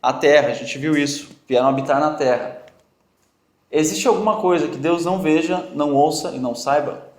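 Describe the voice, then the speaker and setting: A male Bible teacher speaks with a strong foreign accent, his voice sitting fairly high. He keeps the class involved with questions and light teasing. The sound bounces around an echoey church room. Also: normal speed. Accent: strong non-native accent in Portuguese. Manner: jokey, quizzes and teases students.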